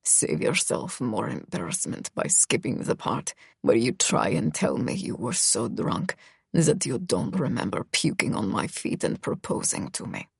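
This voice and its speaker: Woman putting on a low, growling, domineering young man. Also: sing-song, measured pace, creaky, breathy edge, dry recording, heavily acted. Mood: menacing. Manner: low, quiet, growling delivery. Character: cold domineering young man, menacing and smug.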